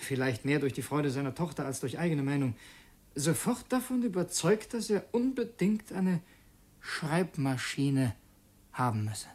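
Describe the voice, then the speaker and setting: A man's voice: on the high side, very animated, measured pace, a little mellow. An actor playing an earnest revolutionary who narrates his secret mission in a literary radio drama, introspective and brooding. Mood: reflective narration.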